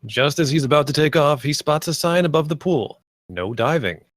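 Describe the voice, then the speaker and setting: A man's voice: a little high, lively intonation, normal speed, a bit bright, dry recording, studio quality. A man reading stage directions as scripted narration at a screenplay table read.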